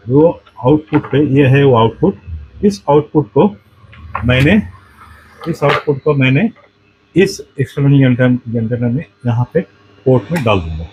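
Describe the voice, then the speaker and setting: A man giving a casual hands-on demonstration, speaking haltingly and restating his phrases. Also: medium pitch, darkish tone. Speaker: host demonstrating a radio antenna kit. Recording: dull, muffled lo-fi sound.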